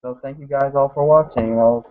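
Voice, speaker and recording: A man reviewing a wrestling show in a rambling casual way, saying "guys" constantly and getting hoarse. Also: medium pitch, steady tone, a little fast. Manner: mocks wrestlers in put-on voices. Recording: tinny phone-like lo-fi audio.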